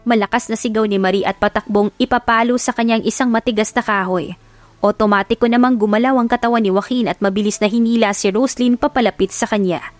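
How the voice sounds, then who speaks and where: A woman's voice: on the high side, steady tone, fast talker, soft background music. A woman reading a scripted love story as a dramatic audiobook narrator.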